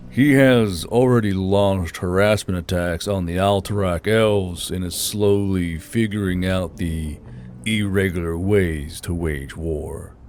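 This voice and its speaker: Guy doing a stern low voiced fantasy officer, steady and low key. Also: a little hiss or hum. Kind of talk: audiobook character acting.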